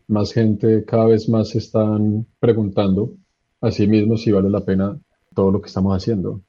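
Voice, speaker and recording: Bogotá guy in his 30s, deep dark husky voice, relaxed storyteller, dull lo-fi line. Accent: Bogotá Colombian Spanish. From Colombia. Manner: relaxed storyteller, slowing for emphasis. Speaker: guest. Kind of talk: radio interview.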